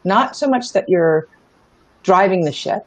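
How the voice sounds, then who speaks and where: A woman's voice: on the low side, lively intonation, a little slow, no echo. A middle-aged woman talking casually as an interview guest, telling stories in a candid, emphatic way and often checking in with the listener. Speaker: interview guest, startup founder. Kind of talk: casual conversational storytelling.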